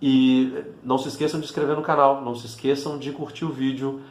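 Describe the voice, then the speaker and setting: A man's voice: medium pitch, little pitch movement, brisk, echoey room. A man with a Brazilian accent from Minas Gerais, teaching an Italian lesson in a casual, chatty way, full of fillers and restarts. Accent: Brazilian Portuguese, Minas Gerais.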